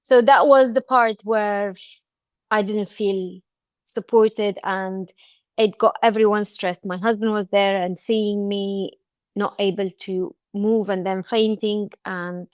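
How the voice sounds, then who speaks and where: A woman's voice: mid-range voice, fairly even intonation, takes it slowly, no room sound. A woman from Egypt with an Egyptian accent, a podcast guest speaking in casual interview chat with frequent restarts and fillers.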